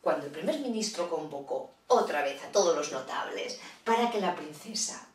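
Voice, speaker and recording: A woman's voice: low voice, expressive, moderate pace, roomy sound, recorded from a distance. Playful woman telling a fairy tale. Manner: playful, chatty asides to listeners. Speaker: storyteller. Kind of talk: children's storytelling.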